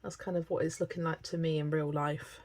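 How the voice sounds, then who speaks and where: A woman with a slightly hoarse voice hosts a live stream, greeting viewers by name and chatting casually while she colours, often laughing. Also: low-pitched, little pitch movement, brisk, darkish tone, a little hiss or hum. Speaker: livestream host.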